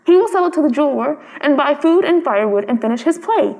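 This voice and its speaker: Woman as a noble male statue, storybook prince, high voice, gentle, sad, pleading, reverberant. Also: lively intonation, fast talker, gravelly. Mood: sorrowful. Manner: pleading, solemn.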